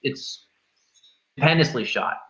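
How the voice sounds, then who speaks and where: Bright-voiced man chatting about films. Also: mid-range voice, animated, measured pace, vocal fry, dull audio. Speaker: film review show host. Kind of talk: casual movie review chat.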